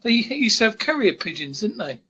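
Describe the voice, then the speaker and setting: A man from the north of Scotland, a podcast guest making deadpan jokes in casual chat. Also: high voice, fairly quick, breathy edge, no room sound. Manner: jokey, deadpan tall tales.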